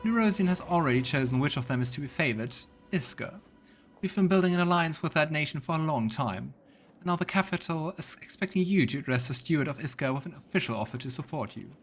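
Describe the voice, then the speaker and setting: A man's voice: on the high side, expressive, fast talker, soft background music, voice pushed up. A man voicing a courtly, formal and persuasive female diplomat while reading game dialogue.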